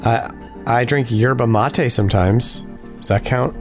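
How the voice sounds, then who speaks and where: A man hosting a casual podcast, upbeat and chatty, with a hoarse voice tonight. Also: medium pitch, measured pace, over music. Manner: upbeat, chatty announcer delivery. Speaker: podcast host.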